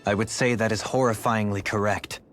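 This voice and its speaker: A man's voice: on the low side, fairly even intonation, fairly quick, a bit bright, faint music behind, close to an everyday voice. A man playing an urbane, analytical nobleman mage in a video game, with formal, wry, articulate speech. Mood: grim, wry. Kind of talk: video game cutscene acting.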